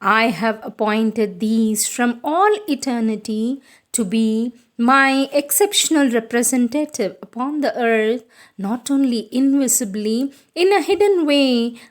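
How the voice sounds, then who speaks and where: A woman reads devotional prose in a fairly high voice at a very slow pace. Her delivery is solemn and deliberate, with formal, measured phrasing throughout. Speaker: reader of devotional writings. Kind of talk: solemn scripted reading of spiritual prose.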